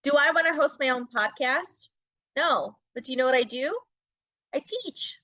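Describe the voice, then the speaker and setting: A woman's voice: high voice, animated, normal speed, pushed and bright, no echo, highs cut off. A middle-aged woman talking as a podcast guest, making her points emphatically and often asking and answering her own questions. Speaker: podcast guest, brand-building business owner and teacher. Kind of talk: conversational podcast interview.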